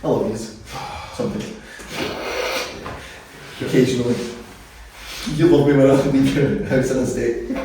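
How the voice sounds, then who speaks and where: Guest musician, Scottish with a Paisley twang, jokey and chatty; recorded distant in a roomy space. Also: male voice, mid-range voice, a little slow, pauses often, noisy background. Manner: laughing, teasing, chatty. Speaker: band member, guest. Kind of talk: casual chat. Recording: echoey room, mic far off.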